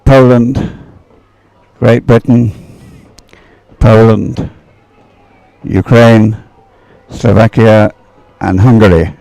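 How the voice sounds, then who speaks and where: A male sports commentator calls a race live in a low-pitched voice. It has a noticeably creaky, gravelly grain, and faint music plays behind him. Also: steady tone, extremely slow, pauses often, dark tone, dry recording, poor audio.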